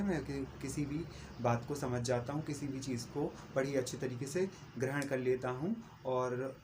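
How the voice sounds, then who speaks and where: A man's voice: fairly high pitch, a little mellow, slight background noise. A Hindi-speaking man giving an earnest, repetitive book recommendation in a casual video review.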